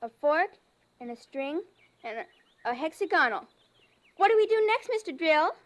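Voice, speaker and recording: Very high-voiced, bossy little girl. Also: sing-song, moderate pace. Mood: bossy, urgent. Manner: loud, strong, insistent. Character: excited, bossy, definite little girl playing invasion. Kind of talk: old-time radio drama acting.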